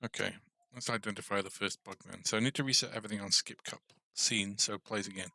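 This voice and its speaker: Low-pitched man with a crisp, bright voice. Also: fairly even intonation, fast, no room sound. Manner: informal, thinking aloud. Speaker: game development tutorial host. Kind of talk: casual coding livestream commentary.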